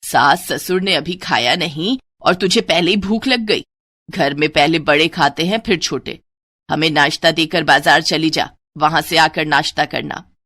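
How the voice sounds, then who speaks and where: Woman voicing a harsh, nagging cartoon mother-in-law, low-pitched. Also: a little slow, no echo, studio quality, heavily acted. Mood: scolding. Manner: sharp, nagging, taunting. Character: harsh scolding middle-aged mother-in-law, bossy and taunting. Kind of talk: Hindi moral-story cartoon acting.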